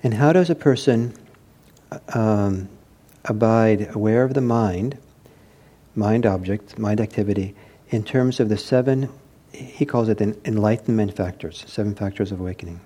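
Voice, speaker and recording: A man giving an informal meditation talk, reflective and hesitant as he searches for words, with a soft, husky voice. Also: fairly low pitch, normal speed. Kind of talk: informal spoken teaching with anecdotes.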